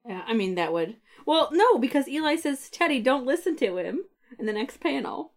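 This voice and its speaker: A woman's voice: sits high, very animated, moderate pace. A woman co-hosting a podcast, recapping a comic book in casual, conversational talk. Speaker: podcast co-host.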